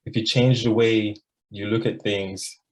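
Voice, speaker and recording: Casting: man in his twenties from Jamaica, lowish voice, sincere and reflective, filler-heavy delivery. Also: level tone, measured pace, a little hiss or hum, rough recording. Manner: earnest, reflective, frequent "like" and "um" fillers. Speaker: law student scholar. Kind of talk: prepared personal presentation.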